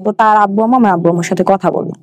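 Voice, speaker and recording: Young bangladeshi woman, medium pitch voice, just chatting through her own life story as a guest. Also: racing, faint music behind. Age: young adult. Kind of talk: conversational personal storytelling.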